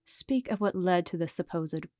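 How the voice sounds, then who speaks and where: A woman's voice: fairly low pitch, moderate pace, mellow, no echo, clean studio sound, close to an everyday voice. A woman playing an imperious ancient vampire elder in an audio drama, speaking in a formal, commanding manner. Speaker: actor.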